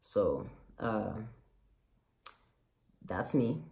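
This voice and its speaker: A man's voice: lowish voice, big pitch swings, very slow, gravelly, a little mellow, a little hiss or hum. A man of about twenty, raised in Mexico, with a light Mexican Spanish accent, talking candidly and rambling with many fillers in a casual personal vlog. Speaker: vlogger.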